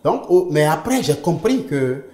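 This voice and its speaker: A man's voice: on the high side, big pitch swings, a little slow, mellow. A man whose first language is English, speaking as an interview guest and telling his own story in an earnest, emphatic way. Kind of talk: personal testimony in conversation. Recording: roomy echo.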